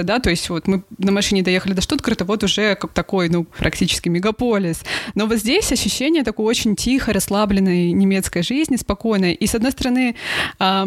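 A woman's voice: mid-range voice, dry recording, studio quality. A woman from Russia, a guest author in a casual interview, talking thoughtfully and hesitantly with many filler sounds. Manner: hesitant, searching for words with frequent filler sounds; thoughtful, laughs easily.

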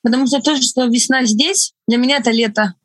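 A woman with a mixed foreign accent and Estonian as her first language, talking in a relaxed interview with a fairly high voice. She laughs a lot and feels her way through her sentences with small restarts. The sound is close and dry. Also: dry recording. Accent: foreign, mixed from several languages. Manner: laughs often, thoughtful with halting restarts. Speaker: guest.

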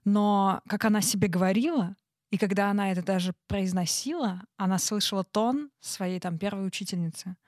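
A woman co-hosting a casual, reflective conversation, thinking aloud in halting phrases. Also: mid-range voice, darkish tone, no echo, clean studio sound. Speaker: talk show co-host.

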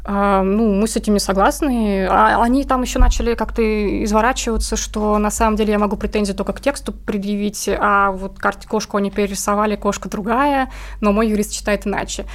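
A woman's voice: medium pitch, steady tone, fairly quick. A woman talking casually and informally as an interview guest, explaining things in a conversational way. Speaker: interview guest, comic artist.

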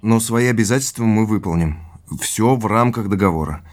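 An actor playing an earnest, inquisitive businessman in a naturalistic audio drama, polite and measured in conversation. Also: lowish voice, close to an everyday voice. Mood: businesslike. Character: earnest, inquisitive businessman tracing his family's past.

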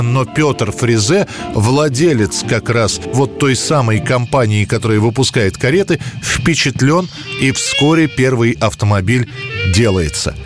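A man tells scripted history as a programme narrator. His voice is medium in pitch and his phrasing is conversational with dramatic touches. Music plays clearly behind him.

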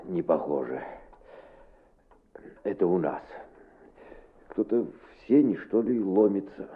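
Slow, low-voiced gruff father. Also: expressive, phone-quality sound. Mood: puzzled. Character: sleepy gruff father of a schoolboy, grumbling but fond. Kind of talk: radio play acting.

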